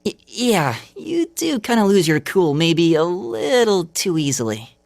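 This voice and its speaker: A woman's voice: on the low side, big pitch swings, measured pace, creaky, darkish tone, no room sound, heavily acted. A woman voicing a timid, friendly young male student in a video game, with a mild, hesitant delivery.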